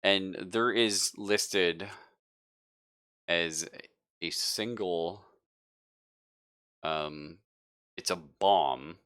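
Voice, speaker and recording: A man narrating a tabletop game casually as game master, thinking aloud in an informal, halting way. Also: low voice, fairly bright tone, smooth.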